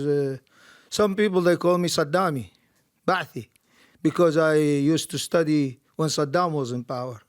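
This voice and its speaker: Gravelly man, emphatic. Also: on the high side, darkish tone. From Iraq. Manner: emphatic, repeats words, heartfelt. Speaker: audience member. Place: studio debate audience.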